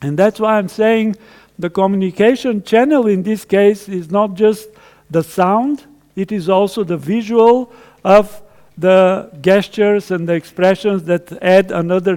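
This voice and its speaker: High-voiced man lecturing in a reverberant hall. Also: darkish tone. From Bulgaria. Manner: conversational, explains with everyday stories. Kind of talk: technical tutorial lecture. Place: conference hall.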